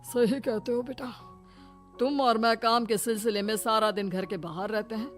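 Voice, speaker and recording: A woman's voice: on the high side, fairly even intonation, moderate pace, close to an everyday voice. A woman voicing an older, paternal father-in-law who advises patiently in a cartoon moral story. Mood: scheming, advising. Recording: music bed behind voice.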